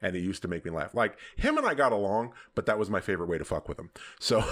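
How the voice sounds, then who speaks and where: A man hosting a podcast, telling stories in a casual, profane way and laughing often. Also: lowish voice. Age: adult, hoping to see future grandkids. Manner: profane, animated storytelling with frequent laughs. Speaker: podcast host.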